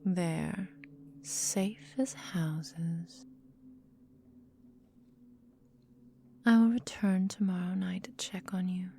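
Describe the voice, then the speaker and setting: A woman voicing a menacing, possessive vampire mistress in a whispered ASMR roleplay, calm and deliberate, breathy, close to the microphone. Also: fairly low pitch, slight background noise. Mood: darkly soothing. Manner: slow, breathy, deliberate, coolly domineering.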